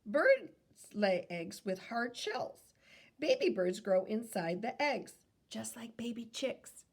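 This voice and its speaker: A woman reading a children's nonfiction book aloud in a teacherly way, pausing for questions and small self-corrections. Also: a little high, sing-song. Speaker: read-aloud reader and teacher.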